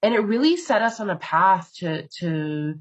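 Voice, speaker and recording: A woman funder speaking on a panel in a measured way, with many fillers and pauses, over a video call. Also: mid-range voice, lively intonation, dull audio. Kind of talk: webinar panel talk.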